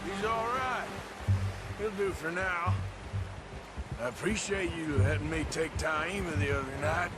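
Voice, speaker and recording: Give the voice of a rugged American outlaw from a Western game, acted by a man. He drawls slowly in a folksy, laconic way, wry and loyal, pitched fairly high for a man, with loud music underneath. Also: close to an everyday voice. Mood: dry, easygoing. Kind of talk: video game dramatic dialogue, conversational.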